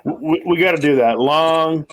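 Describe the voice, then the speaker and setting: A man's voice: fairly high pitch, moderate pace. A man in his forties hosting a casual livestream, rambling and swearing, often mocking himself. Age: 40s. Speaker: livestream host.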